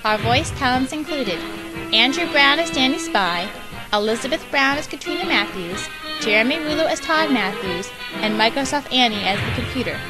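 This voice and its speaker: A woman with a fairly high voice reads scripted closing credits for an amateur audio drama. Music plays clearly behind her announcement. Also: lively intonation, moderate pace, low-quality recording.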